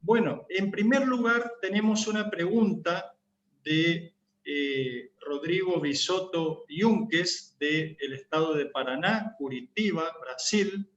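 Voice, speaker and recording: A man introduces questions in formal, courteous speech, his voice slightly high. The recording sounds roomy and dull, with a faint hum underneath. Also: takes it slowly, fairly bright tone. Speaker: question moderator. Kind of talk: formal panel moderation.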